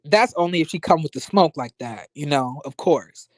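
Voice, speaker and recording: Sassy higher-voiced American man. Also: normal speed, no room sound. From United States, born in rural Florida and raised in Las Vegas. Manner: sassy, slangy, expressive, conversational. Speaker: YouTube reviewer and livestream host. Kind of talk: casual livestream chat with viewers.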